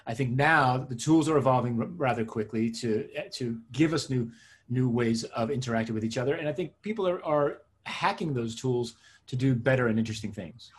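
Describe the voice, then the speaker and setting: Male interview guest, middle-aged, native Hebrew speaker raised in New Jersey. Husky edge, mid-pitched, reflective, repeats phrases for emphasis. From born in Israel, raised in suburban New Jersey. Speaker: interview guest, tech consultant and coach. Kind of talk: conversational interview answers.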